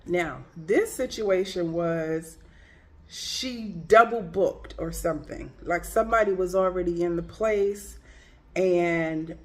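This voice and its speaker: A woman with a congested voice from a cold talks to her camera in a relaxed, unhurried way. She tells her story with a chatty, slangy and exasperated tone. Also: on the low side. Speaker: travel vlogger. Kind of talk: casual storytelling vlog.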